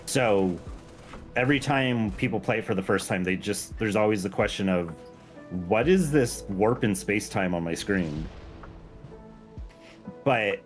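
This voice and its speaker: Man, low voice, enthusiastic game modder in loose, rambling stream chat, music behind. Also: measured pace, long pauses. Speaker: game mod creator guesting on a stream. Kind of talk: casual gaming stream chat.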